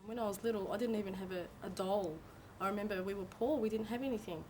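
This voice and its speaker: A woman speaking in a steady, reflective way in a casual interview. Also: mid-range voice, quick pace, soft background music. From overseas, moved to Australia as a child. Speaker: community member.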